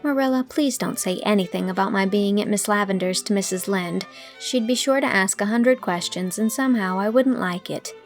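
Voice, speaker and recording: Creaky-edged woman narrating a storybook. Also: medium pitch, normal speed, hardly any pauses, soft background music. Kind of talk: expressive audiobook storytelling with character dialogue.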